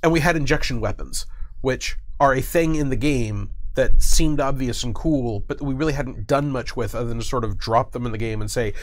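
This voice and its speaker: A game designer guest speaks in a studio interview. He is a man with a medium-pitched voice. His explanations are wordy and emphatic, laid out deliberately. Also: dry recording.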